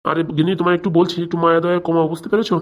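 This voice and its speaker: A woman's voice: fairly low pitch, flat delivery, rapid-fire, lightly acted. A woman voicing a stern but protective older husband and father in a cartoon, exasperated and coaxing. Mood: coaxing, resigned. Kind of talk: cartoon domestic drama.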